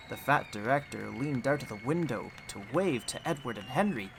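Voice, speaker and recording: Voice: mid-range voice, fairly bright tone, slight background noise. A storyteller reading a children's audiobook in a scripted narration style.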